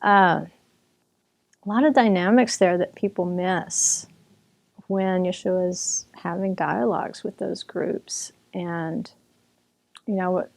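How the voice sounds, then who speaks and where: A woman author talking through her book in a relaxed, conversational way, chatty and full of restarts. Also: lowish voice, takes it slowly, gravelly, pauses often. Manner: chatty, with restarts and occasional laughter. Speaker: author and Bible teacher.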